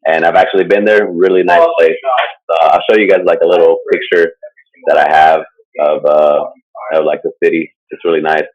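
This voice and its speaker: A man with a very low, deep voice chats loosely about a topic he's presenting, leaning on "like" and "uh" and chuckling often. The recording is dull and lo-fi. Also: little pitch movement, brisk. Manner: rambling, full of "like" and "uh" fillers, chuckles often. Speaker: podcast co-host presenting a topic. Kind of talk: casual chat. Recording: dull lo-fi sound.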